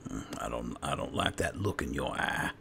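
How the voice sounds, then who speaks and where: A man's voice: very deep voice, expressive, normal speed, gravelly, bright, forceful tone, slight background noise. A man roleplaying a character in a game playthrough, narrating casually and without hurry. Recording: background music under the voice. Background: game music.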